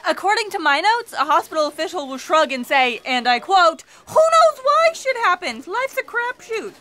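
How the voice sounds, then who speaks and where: A woman's voice: very high-pitched, very animated, moderate pace, bright, forceful tone, heavily acted. A woman playing an officious assistant who reports emphatically in an audio comedy drama. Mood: officious, emphatic. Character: shrill, officious assistant reading from notes.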